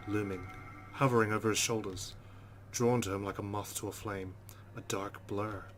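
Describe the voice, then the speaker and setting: Guy doing a lets play, reading visual novel narration w/ comments, lowish voice, music in bg. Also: steady tone, moderate pace. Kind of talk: narration with asides.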